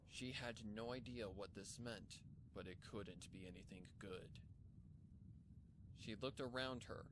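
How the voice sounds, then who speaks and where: A man narrates a horror tale in a dramatic storytelling style. His voice sits on the low side. The recording carries plainly audible noise behind him. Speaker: fan fiction narrator.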